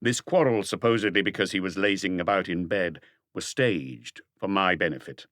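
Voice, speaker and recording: A man's voice: deep voice, normal speed, fairly bright tone, no echo, studio quality. A man voicing a probing, persistent police detective who presses firmly with pointed questions in an audiobook mystery. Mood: accusing.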